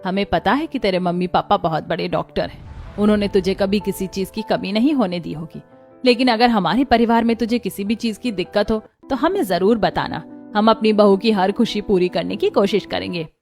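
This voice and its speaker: A woman's voice: medium pitch, normal speed, darkish tone, soft background music, lightly acted. A woman voicing a kindly, affectionate middle-aged mother-in-law in a cartoon. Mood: caring. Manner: affectionate, reassuring.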